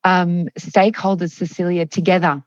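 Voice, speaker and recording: A woman from France with a fairly low voice answers interview questions, thinking aloud with frequent ums and false starts. The sound is muffled and dry, like a remote video call. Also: level tone, normal speed, no room sound. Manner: hesitant, many ums and restarts. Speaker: guest, company chief executive.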